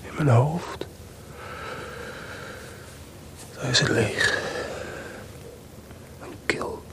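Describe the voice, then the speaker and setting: An actor playing a proud, bitter, jealous composer husband in a radio play, grumbling and sardonic in an intimate way. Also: male voice, medium pitch, slow pace, bright, forceful tone, whispered. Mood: bitter, weary. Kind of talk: Dutch radio play acting.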